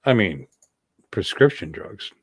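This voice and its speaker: A middle-aged man chatting as a livestream host, with a very lively, swooping delivery. He rambles playfully and jokes around casually, and the sound is close and dry. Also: fairly low pitch, slow, husky, no room sound. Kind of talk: casual joking livestream chat.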